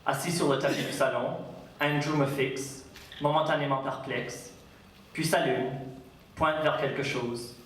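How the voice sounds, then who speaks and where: A man's voice: mid-range voice, steady tone, unhurried, a bit bright, slight background noise. Acadian French man in a very echoey hall. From Acadia, Canada. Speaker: author giving a public talk. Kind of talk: lecture and literary reading. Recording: very echoey hall, distant mic.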